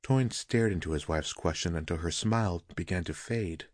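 A man's voice: sits low, normal speed, no room sound, studio quality. A man reading a scripted fantasy story as a dramatic narrator.

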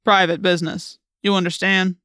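A narrator voicing a gruff, curt policeman who bullies with his authority, in an audiobook. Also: mid-range voice, little pitch movement, normal speed, sharp tone, no room sound, clean studio sound, close to an everyday voice. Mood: gruff, demanding. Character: gruff suspicious policeman, adult man, bullying authority.

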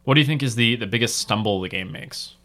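A man hosting a casual podcast, talking in a relaxed, rambling way with many fillers. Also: mid-range voice, fast talker. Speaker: podcast host.

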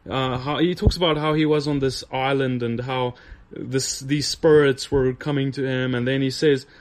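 Earnest minister talking to camera. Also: male voice, medium pitch, fairly even intonation, normal speed. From South Africa. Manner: earnest, repeats words often when searching for them. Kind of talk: casual video talk to viewers.

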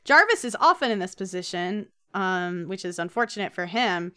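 A woman's voice: medium pitch, lively intonation, normal speed, pushed and bright, clear, resonant tone. A woman co-hosting a podcast, chatting casually through a comic book recap with playful, jokey asides. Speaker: podcast co-host.

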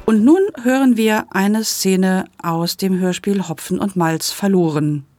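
A woman's voice: medium pitch, measured pace, a little mellow, faint music behind. A woman presenting a podcast report in a narrating style.

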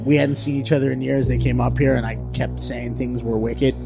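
A man from Western Massachusetts with a local accent, co-hosting a podcast in casual, swearing, quick-told banter. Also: medium pitch, vocal fry, over music. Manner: animated, swearing, quick storytelling. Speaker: podcast co-host.

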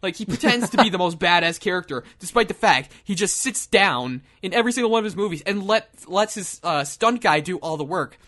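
Animated young man, lively bright voice. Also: high-pitched, a little fast, hardly any pauses. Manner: laughing, animated storytelling. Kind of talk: casual joking chat.

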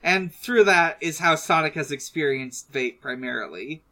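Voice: mid-range voice, lively intonation, normal speed, a crowd in the background. A podcast host chatting casually and reading out listener questions, hesitant and often trailing off mid-thought.

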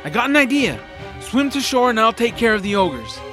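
A man's voice: very high voice, very animated, brisk, sharp tone, heavily acted. A man playing an earnest, eager young hero in an audio drama. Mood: determined. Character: young, earnest, heroic Skycaller companion. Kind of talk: audio drama character acting. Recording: music behind the voice.

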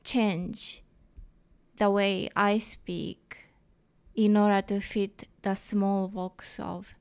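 Slow-talking Japanese-accented woman. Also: mid-range voice, little pitch movement, darkish tone. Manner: halting, many fillers and restarts. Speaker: comedian guest. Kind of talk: casual interview chat.

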